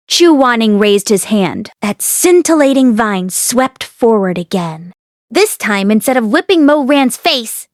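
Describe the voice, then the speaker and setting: A woman reading a novel aloud as an expressive audiobook narrator. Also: fairly high pitch, no room sound, clean studio sound. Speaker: audiobook read-along narrator.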